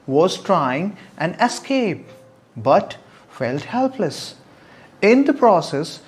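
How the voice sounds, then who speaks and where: A storyteller narrating a scripted moral tale, with dramatic pauses and rhetorical questions. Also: medium pitch. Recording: music bed under the voice. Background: relaxing meditational music.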